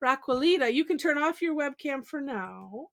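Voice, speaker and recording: A woman's voice: high voice, expressive, normal speed, creaky, a bit bright, dry recording. A woman hosting an online drawing class from home on a video call, chatty and a little scattered, with frequent exclamations. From Michigan, USA. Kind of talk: casual online class chat.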